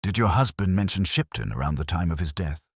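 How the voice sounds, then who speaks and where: Very deep male voice, husky, Victorian storybook detective, acted by a male reader, terse, formal, probing. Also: big pitch swings, brisk, no echo, clean studio sound, exaggerated voice. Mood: probing, intent.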